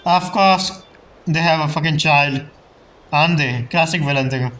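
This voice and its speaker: A woman's voice: low voice, moderate pace, slight background noise. A woman streaming a game, giving casual, blunt live reactions with plenty of swearing. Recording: loud game music and dialogue behind.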